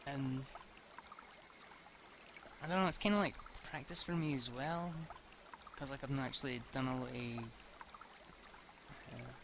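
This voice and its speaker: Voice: medium pitch, a little slow, creaky, long pauses, fairly bright tone, slight background noise. An amateur reader narrating a visual novel aloud, stumbling and self-correcting, with casual chatty delivery. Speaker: amateur reader, video host. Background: music.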